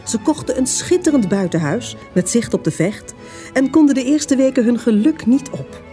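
A woman narrating a radio play in a measured, formal storytelling manner. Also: on the high side, animated, mellow, faint music behind.

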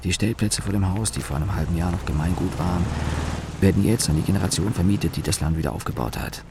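Very deep, sardonic grumbling young man. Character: grumbling, disaffected young man named Daniel, sardonic narrator. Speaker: narrator and main character. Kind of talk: radio drama narration in first person. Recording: noisy background ambience.

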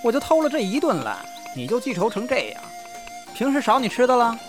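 A man playing an impatient, sharp-tongued young troupe member who scolds his friends in an audio drama. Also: very high-pitched, wildly swooping pitch, a little fast, over music, exaggerated voice. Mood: snippy.

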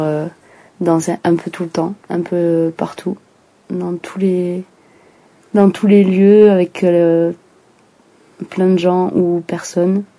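Female, lower-pitched and husky, tentative casual reflection with little melody. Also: mellow. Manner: tentative, thinking aloud. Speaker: dance student.

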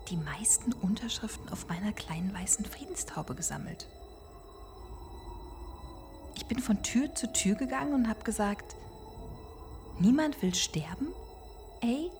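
A woman's voice: medium pitch, animated, unhurried, long pauses. A woman in her forties looking back on an anxious childhood, wry and confiding. Her voice sits at an ordinary height for a woman, with light music underneath, in the manner of a radio play. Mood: rueful, confiding. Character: woman wryly recalling an anxious eighties peace-movement childhood. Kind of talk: radio play monologue and conversation, recalling childhood.

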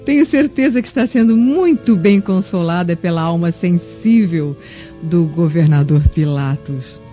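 A woman's voice: fairly low pitch, unhurried, dark tone, lightly acted. An actress playing a venomous, haughty Roman noblewoman in a radio drama, sarcastic and falsely sweet. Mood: spiteful, insinuating. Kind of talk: old-fashioned radio drama acting. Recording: dull lo-fi radio drama with music.

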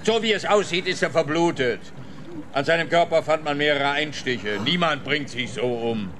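An actor playing a grumpy, sarcastic older police officer in a radio crime comedy, blustering and smug. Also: male voice, fairly high pitch, moderate pace, noisy background, natural voice. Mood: stern.

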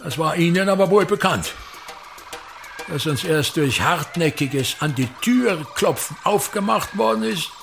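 A man's voice: fairly high pitch, animated, a little slow, vocal fry, fairly bright tone, faint music behind, heavily acted. An actor playing a pompous, contemptuous old male theater director in a radio drama, with an Austrian accent and a declamatory, scornful way of talking. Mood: complaining.

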